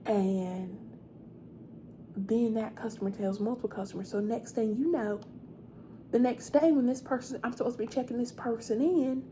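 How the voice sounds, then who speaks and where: Slow, soft-spoken gossipy receptionist played by a woman. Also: a little high, noisy background. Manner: confiding, chatty, repeats words. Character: sweet, chatty, gossipy female hotel receptionist. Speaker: ASMR roleplay performer. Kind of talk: soft-spoken ASMR roleplay.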